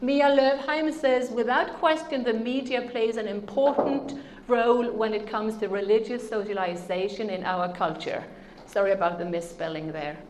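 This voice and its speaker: A woman's voice: a little high, fairly even intonation, normal speed, a little mellow, a little hiss or hum. A middle-aged woman with a Norwegian accent, giving an earnest, emphatic conference lecture with rhetorical questions to the audience. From Norway. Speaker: speaker.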